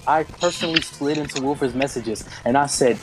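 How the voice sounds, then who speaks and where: A man's voice: medium pitch, a little fast, noisy background. A young man in casual, slangy banter with friends, telling stories and joking complaints. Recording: loud music over the voice. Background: loud music.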